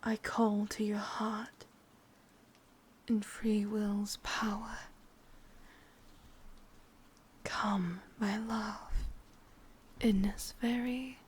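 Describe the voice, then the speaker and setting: A woman's voice: medium pitch, little pitch movement, drawn-out words, slightly rough, full character voice. A woman with an English accent playing a sadistic, possessive yandere witch in an ASMR roleplay, mostly whispering in a coaxing yet commanding way.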